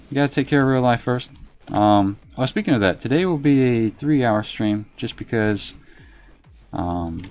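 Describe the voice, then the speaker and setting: Soft-spoken man streaming a game. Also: on the low side. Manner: reserved, unhurried, talks while playing. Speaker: live streamer. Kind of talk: casual gameplay commentary, reading chat.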